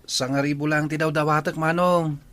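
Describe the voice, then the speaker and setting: A woman's voice: low-pitched, steady tone, normal speed, fairly bright tone. A woman with an Ilocano accent playing an admiring, teasing younger student sibling in a radio drama. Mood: grateful, sweet.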